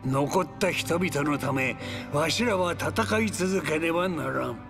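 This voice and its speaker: Game role: stern older commander, measured slow delivery, slightly raised pitch, music behind. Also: male voice, vocal fry. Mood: grave. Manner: grave, measured, authoritative. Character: stern, dutiful older male military commander. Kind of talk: video game drama acting.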